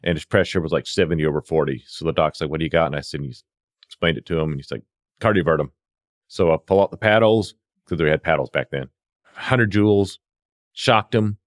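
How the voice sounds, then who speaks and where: A man's voice: very deep voice, brisk. A middle-aged man telling casual anecdotes as a podcast guest, acting out bits of dialogue as he goes. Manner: animated re-enacting of dialogue. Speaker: podcast guest, veteran EMS worker.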